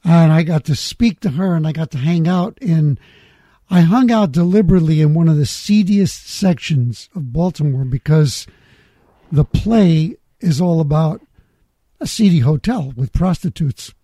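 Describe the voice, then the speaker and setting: A middle-aged man hosting a podcast, reading a measured intro and chatting easily, laughing often. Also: a little high, animated, warm tone, no room sound. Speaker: podcast host. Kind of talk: scripted intro then casual interview.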